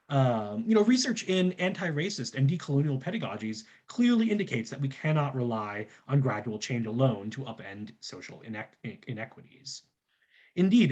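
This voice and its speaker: A man's voice: mid-range voice, a little mellow. A man giving a scripted academic presentation as a researcher, in an earnest, formal scholarly manner.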